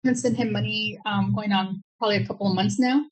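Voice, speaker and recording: A woman's voice: mid-range voice, fairly even intonation, fast talker, low-quality recording. A woman speaking casually as an interview guest, recounting her own experience. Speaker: guest, scam victim. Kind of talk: casual personal account.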